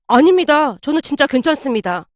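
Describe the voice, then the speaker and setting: A woman's voice: high voice, very animated, a little fast, no echo, clean studio sound. A woman voicing a meek, good-natured husband in a narrated family story, hesitant and deferential. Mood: polite, soothing. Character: meek, weary, good-natured husband, polite son-in-law.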